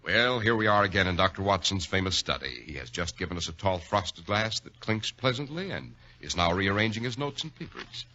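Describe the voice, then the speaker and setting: A man's voice: low voice, animated, brisk, hardly any pauses, sharp tone. An American man announcing and hosting in 1940s radio style, with polished, emphatic salesmanship. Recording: dull 1940s radio recording.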